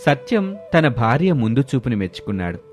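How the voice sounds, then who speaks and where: A man narrating a scripted moral story for an animated video. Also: mid-range voice, brisk, faint music behind.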